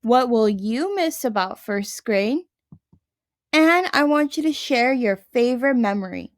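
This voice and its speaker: A woman's voice: on the high side, lively intonation, measured pace. A young adult woman, a first grade teacher, reading a picture book aloud to her class and talking to her students.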